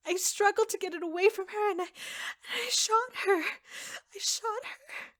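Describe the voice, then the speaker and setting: A woman playing an obsessive, jealous secretary in an ASMR audio roleplay, soft-spoken and often slipping into breathy whispers. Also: very high-pitched, a little slow, dry recording. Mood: feigned panic, tearful. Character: obsessive yandere secretary, devoted, jealous, coldly menacing woman.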